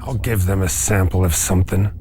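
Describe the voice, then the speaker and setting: A man with a lowish voice plays a tabletop game, talking in character as a security officer. There is faint music behind him. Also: steady tone, brisk.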